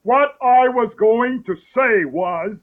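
A man voicing a solemn, long-winded male dodo with a slow, grand delivery. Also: very high voice, animated, voice pushed up, full character voice. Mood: grandiose. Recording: tinny phone-like audio.

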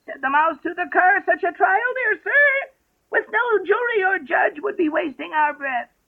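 A woman voicing a severe, easily offended storybook mouse in a sharp, declamatory manner. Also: very high-pitched, expressive, unhurried, heavily acted. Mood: theatrical, menacing. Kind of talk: dramatised storybook reading. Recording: tinny, narrow-band radio-like sound.

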